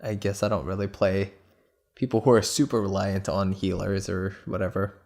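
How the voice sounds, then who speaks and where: A man's voice: deep voice, level tone, moderate pace, creaky, a little mellow. A man co-hosting a podcast, chatting casually about games, rambling with plenty of fillers and frequent laughs, his nose a little stuffy. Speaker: podcast co-host. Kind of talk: casual gaming chat.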